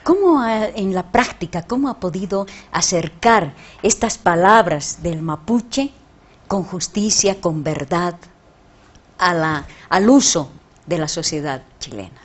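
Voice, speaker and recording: A woman journalist hosting an interview, introducing her guest and asking measured questions. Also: on the low side, lively intonation. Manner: measured, frequent short acknowledgments. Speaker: journalist and show host. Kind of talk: interview questions and back-channel replies.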